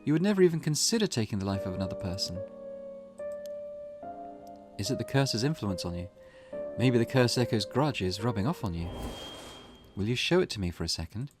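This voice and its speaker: Casting: male reader as sensible, protective teen schoolgirl in a visual novel, earnest, measured, expressive pitch, music underneath. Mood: firm concern. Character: level-headed, cautious teenage schoolgirl, earnest and protective. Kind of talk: character voice reading a visual novel. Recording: music in the background.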